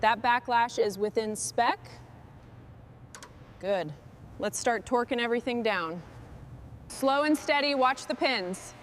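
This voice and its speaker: A woman with a slightly high voice talks through hands-on mechanical work. She speaks in short instructions and checks, as the mechanic leading the job. Faint workshop noise sits behind her. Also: slow, pauses often. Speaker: mechanic leading a truck restoration.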